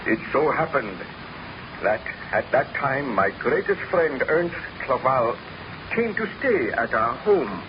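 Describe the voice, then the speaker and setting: An actor playing an exhausted, obsessed young baron scientist with a grave, theatrical, formal delivery in 1930s radio drama style. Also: male voice, medium pitch, sing-song, slow pace. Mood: brooding, confessional. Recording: noisy, muffled vintage 1930s radio recording.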